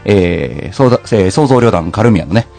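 A man's voice: on the low side, expressive, brisk, vocal fry, a little mellow. A man hosting a podcast in casual comedic banter, joking and teasing in an informal way. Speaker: podcast host. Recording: music behind the voice. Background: background music.

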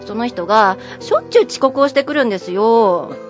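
A woman's voice: on the high side, animated, a little fast. A woman guest on a radio show in casual, playful chat, agreeable and attentive. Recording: muffled audio with music.

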